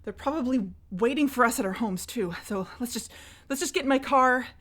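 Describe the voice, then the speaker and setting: A woman's voice: on the high side, brisk, a bit bright. A woman playing a frightened, urgent young woman in an audio drama. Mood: panicked, urgent.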